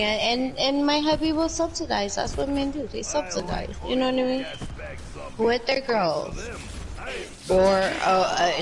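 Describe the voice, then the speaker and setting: An American woman hosting a podcast, giving her opinions in a blunt, emphatic, profane casual chat. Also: mid-range voice. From United States. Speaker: podcast host.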